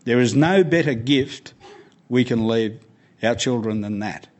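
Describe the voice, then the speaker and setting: A man's voice: mid-range voice, a little slow, darkish tone. A man speaking as guest speaker, giving earnest, emphatic answers in a panel Q&A and often repeating words as he starts.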